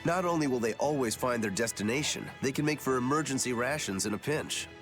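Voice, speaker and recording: A man's voice: medium pitch, fairly quick, music in the background, natural voice. A man playing an easygoing, joking adventurer in an anime-style video game, breezy, casual and teasing.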